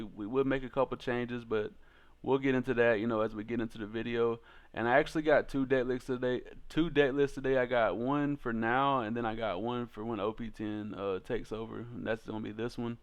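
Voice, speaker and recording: A man's voice: mid-range voice, fairly even intonation, normal speed, clean tone, dull audio. A man hosting a card game channel, talking through a deck in a casual, informal way with slangy turns of phrase.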